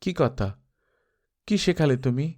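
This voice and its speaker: A man's voice: medium pitch, lively intonation, unhurried, darkish tone, close to an everyday voice. A man voicing a naive, earnest young brother-in-law who questions in bewilderment, in a dramatised novel reading. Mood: hesitant, curious.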